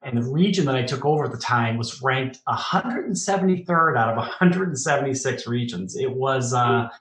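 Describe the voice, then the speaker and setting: A middle-aged man with a Boston accent, a podcast guest telling business stories in a lively, chuckling way. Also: fairly high pitch. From Boston, USA. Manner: animated, chuckles through anecdotes. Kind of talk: casual interview storytelling. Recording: roomy echo.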